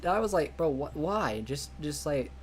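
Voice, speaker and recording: A man's voice: on the high side, expressive, measured pace, a little hiss or hum. Rambling young male streamer. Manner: rambling, full of restarts and "like"; laughs easily. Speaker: streamer playing a game mod. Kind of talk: casual chat over a game stream.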